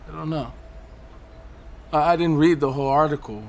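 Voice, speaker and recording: An actor playing a bitter, paranoid loner on a high ledge in a naturalistic audio drama, speaking haltingly with defiant, cynical, profane edges. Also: male voice, creaky, slight background noise. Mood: bleak, dry.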